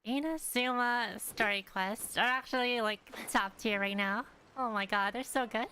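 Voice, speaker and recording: A woman's voice: on the high side, normal speed, pushed and bright, a little hiss or hum, rough recording. A young woman giving casual, exclamatory, slangy live reactions as she streams a game.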